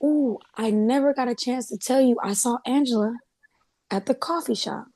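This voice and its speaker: A woman's voice: fairly high pitch, moderate pace, mellow, no echo. A woman in a table read playing a gossipy, conversational female office assistant in a drama. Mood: defensive, gossipy. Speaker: actor in a script table read. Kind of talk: dramatic script reading.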